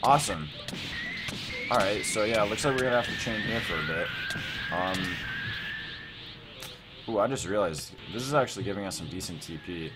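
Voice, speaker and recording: A man's voice: lowish voice, animated, moderate pace, pauses often, poor audio. A young man giving casual gameplay commentary, rambling and thinking aloud as he hosts a gaming video. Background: music.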